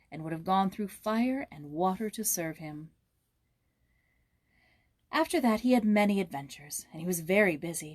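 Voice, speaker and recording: A woman reading a fairy tale aloud as a storybook narrator, expressive and with dramatic emphasis. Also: medium pitch, no echo.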